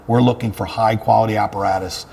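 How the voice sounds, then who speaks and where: A man with a low voice talks casually as an interview guest. He is earnest and conversational, often repeating a phrase to press it home. There is clear ambient noise behind him. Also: steady tone, fast, darkish tone. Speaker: fire chief, interview guest. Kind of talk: casual interview talk about work.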